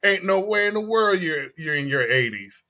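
Bright-voiced man hosting a podcast. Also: high voice, moderate pace. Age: 40s. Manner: animated, emphatic, repeats phrases. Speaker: podcast host. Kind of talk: casual chat.

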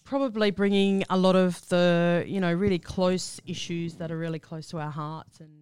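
A woman's voice: lowish voice, steady tone, normal speed, darkish tone, clear, resonant tone, dry recording. An Australian woman co-hosting a community radio show, chatting casually, laughing often and using local slang, in a studio.

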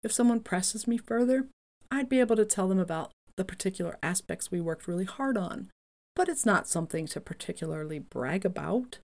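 A woman reading the answers of a calm older male company founder in an imagined interview, in a modest, measured way. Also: mid-range voice, natural voice.

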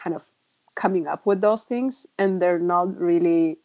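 A middle-aged woman from Bulgaria giving reflective advice in a conversation, laughing softly between points. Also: on the low side, unhurried, no room sound, dull audio. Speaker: guest adviser.

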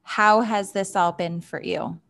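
A woman co-hosting a podcast interview, asking warm, empathetic follow-up questions. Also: medium pitch, fairly even intonation, a little slow, vocal fry. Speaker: podcast co-host.

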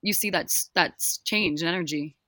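A woman's voice: fairly low pitch, brisk, pushed and bright, dry recording. A woman co-hosting a casual interview, asking curious questions one after another. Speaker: podcast co-host.

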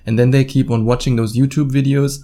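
A man's voice: medium pitch, level tone, brisk, dark tone. A man with a German accent hosting a solo podcast, speaking informally and bluntly straight to the listener. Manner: informal, blunt, swears casually, acts out imagined quotes. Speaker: podcast host and dating coach. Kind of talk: solo podcast monologue, casual direct address.

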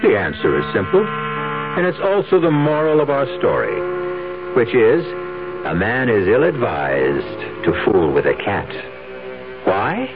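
Over music on a thin, band-limited radio recording, a man hosts a mystery drama. His low voice moves expressively as he delivers scripted narration with wry theatrical flair. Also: huge pitch swings, measured pace, phone-quality sound.

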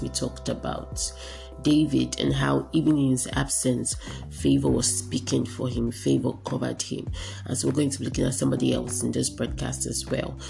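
Hoarse, raspy woman preaching. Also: rumbling low. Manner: earnest, encouraging, repeats herself for emphasis. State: hoarse from a cold. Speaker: radio preacher and host. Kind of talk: devotional teaching broadcast.

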